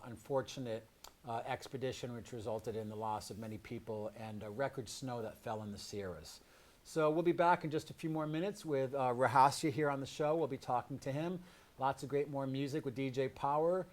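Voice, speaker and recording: Halting male live show host. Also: mid-range voice. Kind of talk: hosting a live community broadcast.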